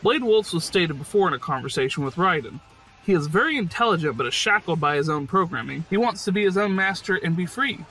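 A man's voice: sits high, expressive, fairly quick, low-quality recording. A man giving enthusiastic scripted video game commentary in a jokey, emphatic way.

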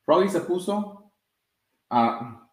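A young man in his twenties reading a children's story aloud, a little haltingly. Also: sits high, lively intonation. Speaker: soccer goalkeeper reading a children's book. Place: small room with blinds, indoors. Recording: roomy indoor webcam sound.

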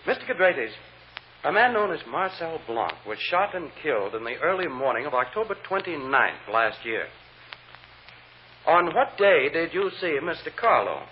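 A muffled, faintly hissy vintage radio recording of a courtroom lawyer. He probes insistently in a lively, swinging male voice, rephrasing his questions as he goes. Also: fairly high pitch. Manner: brisk questioning with hesitant uhs and rephrasing. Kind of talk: vintage radio drama acting.

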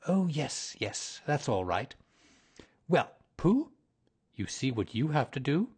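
A man's voice: medium pitch, big pitch swings, slow, darkish tone, slightly rough, voice pushed up, exaggerated voice. A man voicing a bossy, scheming rabbit in a storybook reading, coaxing and managing the others.

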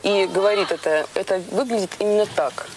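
A woman talking fast and firmly, pressing her point with insistence. The noisy location audio carries a constant hiss. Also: medium pitch, darkish tone. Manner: firm, insistent, confrontational. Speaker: contestant. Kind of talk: heated argument.

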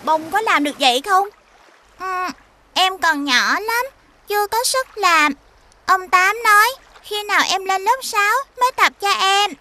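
A woman voicing an eager, chirpy little girl in an animated cartoon. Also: very high-pitched, unhurried, slight background noise, heavily acted. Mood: excited. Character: lively little local girl, eager younger sister showing off hometown.

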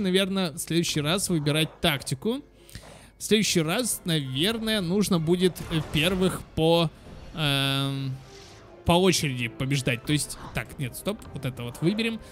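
A man's voice: on the high side, a bit bright. A young man giving casual, exclamatory game commentary for children, chatting to viewers as friends. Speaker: gaming channel host.

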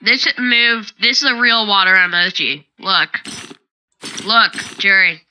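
A woman's voice: mid-range voice, takes it slowly, sharp tone, a little hiss or hum, poor audio. A woman playing a block-building game with a friend, answering in short, stubborn, dry replies. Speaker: gamer. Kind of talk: casual let's play chat.

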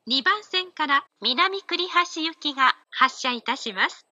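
A woman's voice: sits high, lively intonation, slow, bright, forceful tone, no echo. A woman making formal station announcements, caught in a dull, noisy recording.

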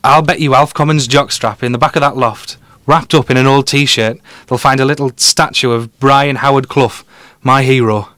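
A man acts a confident amateur detective in a radio play. His voice is medium in pitch and recorded dry. He reasons aloud with teasing, quick-witted assurance. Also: fairly even intonation, a bit bright, no room sound, close to an everyday voice. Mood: confident, persuasive. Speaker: podcast guest detective. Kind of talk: conversational audio drama dialogue.

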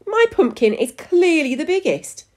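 A woman voicing a squeaky, stubborn, competitive mum who gardens, in a children's story. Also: very animated, measured pace, darkish tone. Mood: competitive, indignant.

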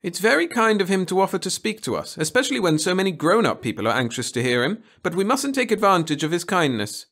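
A man's voice: high-pitched, big pitch swings, fast talker, hardly any pauses, fairly bright tone, pitched above the performer's own voice, exaggerated voice. A man voicing a strict, fussy, complacent woman schoolteacher in a storybook reading, scolding and primly authoritative. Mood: firm, prim. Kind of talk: storybook audiobook character voice.

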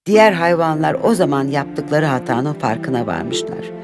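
A woman narrates a children's fairy tale from a script. Her voice is low and animated in its melody, with loud music playing behind her. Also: moderate pace.

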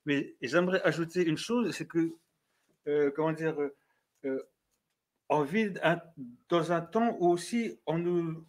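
A man's voice: a little high, measured pace, long pauses, a little mellow. A man, a writer on a panel, speaking earnestly with fumbling starts before an audience. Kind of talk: panel discussion. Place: café venue with audience.

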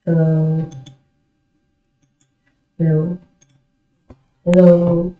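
A woman gamer chatting casually in short, halting questions and replies, in a roomy, muffled recording. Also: on the low side, completely flat delivery. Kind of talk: casual game voice chat.

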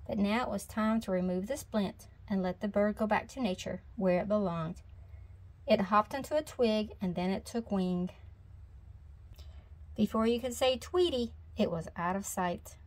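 Female storybook reader, mid-pitched, narrating aloud for children. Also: normal speed, a little mellow.

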